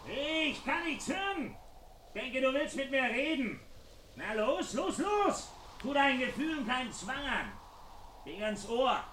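Casting: gloating radio-play villain, very high-pitched, sharp and forceful, taunting, in a roomy old recording. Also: male voice, sing-song, measured pace, heavily acted. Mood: taunting, impatient. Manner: sneering, theatrical, taunting. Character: male criminal taunting a policeman, mocking and gloating. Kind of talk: 1970s German radio crime drama acting. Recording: roomy 1970s radio play, faint noise.

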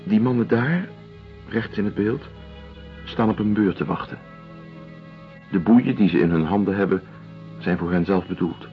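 A male actor in a radio drama playing a weary, detached doctor and writer, speaking in a measured, deliberate way. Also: sits low, soft background music, close to an everyday voice. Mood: grave, observant. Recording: dull lo-fi radio play recording.

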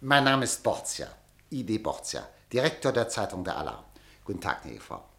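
An actor in a radio play playing a self-important, insinuating newspaper director, ingratiating and full of meaningful hesitations. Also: male voice, lowish voice, huge pitch swings, a little fast, vocal fry, bright, forceful tone, exaggerated voice. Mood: courtly. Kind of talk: German radio drama acting.

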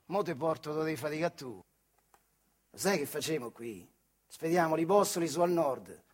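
Actor doing a bossy, boastful factory foreman, high-pitched. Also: lively intonation, normal speed, husky. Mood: gruff, instructing. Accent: central Italian, Marche. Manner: emphatic, declamatory, coarse. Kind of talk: theatrical monologue in dialect.

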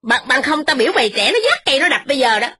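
A woman voicing a domineering old mother-in-law who scolds in a shrill, rapid voice. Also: big pitch swings, a bit bright, dry recording, heavily acted. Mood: furious.

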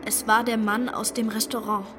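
A woman's voice: fairly high pitch, level tone, moderate pace, over music, lightly acted. An actress playing a pressured young woman witness in a radio play, giving short, insistent, defiant answers under interrogation. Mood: tense insistence.

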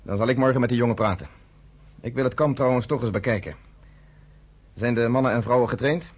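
A man's voice: mid-range voice, a little fast, husky, close to an everyday voice. A man in his thirties playing a blunt, commanding underground leader in a radio play. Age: 30s.

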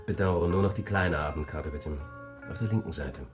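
An actor playing a discreet hotel waiter and barman in a radio crime comedy, formal, polite and smoothly recommending. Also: low-pitched, moderate pace, lightly acted. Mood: courteous. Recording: guitar music clearly behind.

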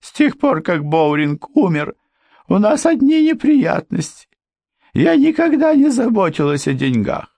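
Shrill frightened housekeeper, put on by a man. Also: very animated, measured pace, gravelly, mellow, dry recording, full character voice. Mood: pleading. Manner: high, strained, tearful. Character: anxious middle-aged female housekeeper, shrill, pleading. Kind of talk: audiobook character voice.

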